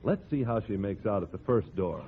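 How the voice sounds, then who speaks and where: A man's voice: on the low side, lively intonation, brisk, slight background noise. A male radio announcer giving showy, polished scripted introductions in 1940s style. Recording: very narrow tinny 1940s radio recording, music behind.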